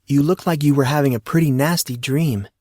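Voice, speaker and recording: Guy reading as a soft concerned teen girl, pitched up a bit, dry close sound, audiobook. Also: a little fast, no room sound, close to an everyday voice. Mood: gentle concern. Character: gentle, puzzled teenage schoolgirl.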